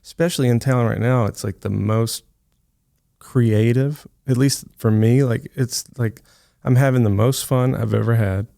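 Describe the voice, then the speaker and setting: A man chatting casually on a podcast, upbeat and easygoing, saying "man" and answering with quick agreements. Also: mid-range voice, fairly even intonation, creaky, dark tone, no room sound, clean studio sound.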